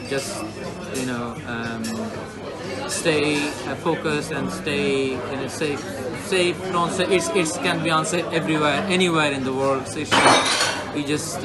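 A man from Bangladesh in his thirties, answering interview questions casually, hesitant with many fillers, seated indoors by a brick wall. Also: medium pitch. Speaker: interviewee, client.

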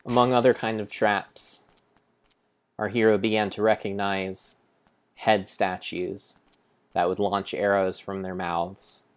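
Young guy reading out a game like an epic tale, real low voice, takes his time, solemn. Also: flat delivery. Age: young adult. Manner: solemn, deliberate, theatrical storyteller. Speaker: game streamer. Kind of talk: dramatic storybook-style narration over gameplay.

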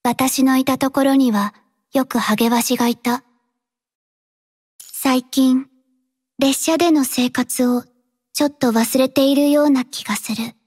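Anime game mercenary, young female: high pitch, unhurried, quiet, terse, earnest and reserved. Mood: soft, reserved. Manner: quiet, terse, halting, flatly earnest delivery. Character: reserved, dutiful young female mercenary robot-arm mechanic. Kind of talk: Japanese anime-style mobile game voice lines.

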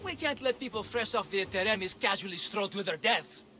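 An actor voicing an excitable showman who runs monster trials, with theatrical salesman patter. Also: high-pitched, a little fast, slight background noise. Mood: eager. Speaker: game character. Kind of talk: video game voice acting.

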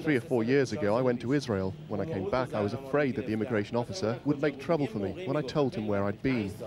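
A man's voice: mid-range voice, fast talker, crowd noise. A man speaking as a butcher in plain, matter-of-fact interview answers.

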